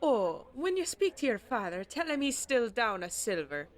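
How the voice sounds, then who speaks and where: A woman's voice: a little high, big pitch swings, moderate pace. A woman playing a friendly, teasing market baker with a folksy, wry manner in a fantasy audio drama. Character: friendly, teasing female market baker hawking meat pies. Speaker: voice actor. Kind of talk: fantasy audio roleplay acting.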